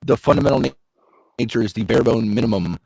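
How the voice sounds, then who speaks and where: A man co-host in a call-in religious debate, explaining his points calmly. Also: mid-range voice, fast, gravelly, no room sound.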